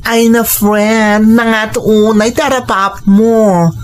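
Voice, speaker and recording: A woman playing a chatty, candid co-worker and friend in an audio drama, expressive and exclaiming. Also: fairly high pitch, takes it slowly, a little hiss or hum. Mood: teasing, incredulous. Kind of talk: Ilocano audio drama acting.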